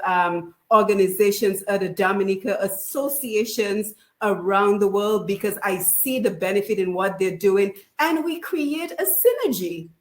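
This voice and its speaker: A woman hosting a radio panel discussion in a conversational way, often checking in with "right?". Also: medium pitch. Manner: conversational, frequent "right?" tags. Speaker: radio show host. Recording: roomy echo.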